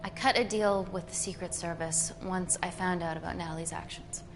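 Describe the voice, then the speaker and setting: A woman's voice: fairly low pitch, fairly even intonation, a little fast, music in the background, natural voice. A woman playing a determined agent in a live-action thriller game. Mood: guarded, earnest. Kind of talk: film-style dramatic acting in a game. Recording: clearly noisy background. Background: ambient noise.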